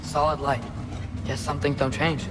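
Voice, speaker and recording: An actor playing a curious young adventurer in a video game, speaking in an informal, inquisitive way. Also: male voice, mid-range voice, level tone, normal speed, background noise, close to an everyday voice. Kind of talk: dramatic video game dialogue. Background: loud game music.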